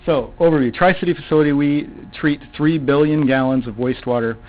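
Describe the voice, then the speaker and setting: A soft-spoken man gives a presentation at a public council meeting. He talks in a halting way, with many fillers and restarts. The sound is thin and boxy, like a phone line. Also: on the high side. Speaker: utility presenter at city council.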